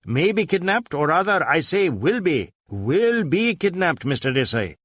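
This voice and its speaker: A man's voice: on the high side, very animated, unhurried, a bit bright, husky, no echo, pitched above the performer's own voice, full character voice. A man voicing a stern, brusque police superintendent who speaks emphatically and repeats himself for weight. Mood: brusque, insistent.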